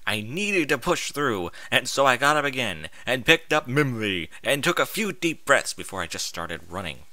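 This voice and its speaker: A man narrates a first-person story with a very bright, forceful voice. His pitch moves around in a lively way and his delivery is expressive and informal. The sound is dry and close. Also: moderate pace, no room sound. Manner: expressive, informal, sweary interjections. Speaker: fan fiction reader.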